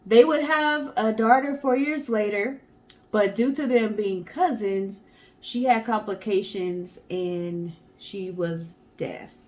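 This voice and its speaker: A woman's voice: medium pitch, slow pace, a little mellow. A woman co-hosting a storytelling show, reading a story in a casual way and addressing the audience.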